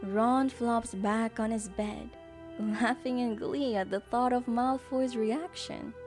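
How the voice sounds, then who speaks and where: A woman narrates a scripted audio drama in a fairly high voice. She takes a slow, measured pace. Music plays clearly behind her. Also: steady tone, darkish tone.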